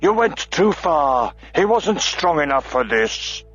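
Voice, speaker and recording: A man voicing a stout, stubborn male dwarf warrior who speaks gruffly and defiantly. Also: fairly high pitch, animated, takes it slowly, a bit bright, voice pushed up, full character voice. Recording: lo-fi audiobook with faint music.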